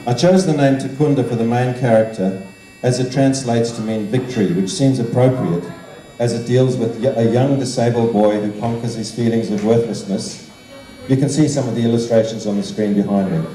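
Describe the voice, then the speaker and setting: An older man giving a measured, formal prepared speech to an evening gathering. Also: medium pitch, dark tone. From Zimbabwe. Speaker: guest speaker, art teacher and children's author. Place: large indoor function room. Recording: roomy, echoing hall sound, distant microphone.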